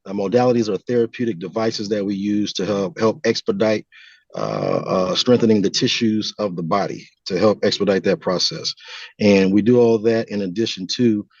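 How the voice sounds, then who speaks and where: A man's voice: lowish voice, moderate pace, darkish tone, slightly rough, no room sound, lo-fi sound. An American man from California giving earnest, explanatory answers as a guest in a conversational interview. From California, United States. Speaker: podcast guest.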